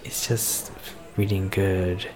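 A man playing a shy, flirtatious celebrity in an ASMR romance roleplay, speaking hesitantly with nervous soft laughs. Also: low-pitched, level tone, takes it slowly, music in the background. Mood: chatty, earnest. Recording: bar crowd chatter behind voice. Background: crowd noise of a bar.

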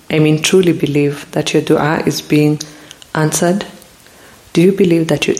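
A podcast host giving a calm, reflective spiritual talk into a close mic. They ask the listener many probing questions and grow looser and more hesitant when retelling stories. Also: mid-range voice, rough recording.

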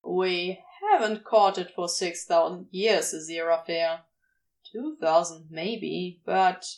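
Woman voicing a dry, sardonic male demon, unhurried. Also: lowish voice, pitched below the performer's own voice. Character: sardonic, grumbling male demon, secretly devoted and vulnerable. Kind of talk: fan fiction audio reading, acted dialogue.

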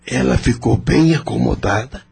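A man's voice: medium pitch, moderate pace, creaky, darkish tone, slightly rough, full character voice. An actor playing an old, sly male coachman in a radio drama, speaking deferentially with a hint of irony. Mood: courteous.

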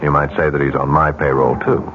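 An actor playing a scheming, smooth-talking, self-assured advertising executive in a 1970s radio drama. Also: male voice, rumbling low, flat delivery, brisk, a crowd in the background. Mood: smooth, probing. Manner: slick, self-assured, persuasive. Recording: muffled 1970s radio broadcast, faint hiss.

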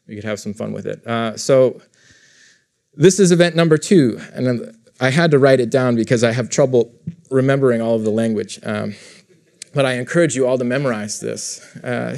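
Male professor, gravel in the voice, talks an audience through the material, corrects himself as he goes. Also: medium pitch, darkish tone. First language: English. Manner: explanatory, self-correcting, with frequent fillers and repeats. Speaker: professor giving a lecture. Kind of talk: academic lecture to a live audience. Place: lecture room.

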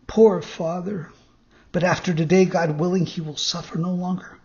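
A man's voice: high-pitched, fairly even intonation, moderate pace, a little mellow, muffled recording, voice pushed up. A man voicing an earnest, naive young boy marionette in a storybook reading. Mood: eager. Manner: eager, indignant, innocent.

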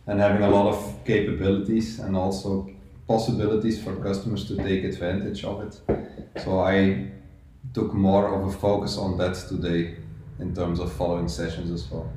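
A man speaking as a guest on a casual podcast in a calm, measured way. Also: fairly low pitch, slight background noise. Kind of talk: casual group podcast chat. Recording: echoey room, far from mic.

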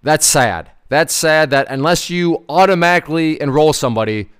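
A man's voice: on the high side, lively intonation, moderate pace, a bit bright, clean tone. A man in his thirties giving informal, conversational commentary as a host, stressing points emphatically and repeating words. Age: 30s. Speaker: host commenting on personal finance. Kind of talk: informal commentary on an article, partly read aloud.

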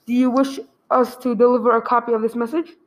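A woman playing a courteous, formal telephone clerk in a radio play, speaking in a measured, official way. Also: a little high, level tone, lightly acted. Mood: businesslike.

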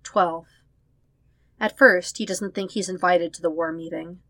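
Female podfic narrator, lower-pitched voice, very dry close sound. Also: fairly even intonation, unhurried, a bit bright, no echo, soft background music. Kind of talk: scripted fan fiction narration.

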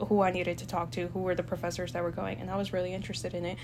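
A woman's voice: lowish voice, completely flat delivery, rapid-fire, background noise. Chatty young woman from El Salvador. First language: Spanish. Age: young adult. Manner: chatty, hesitant with many fillers, laughs easily. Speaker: student guest. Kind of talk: casual chat.

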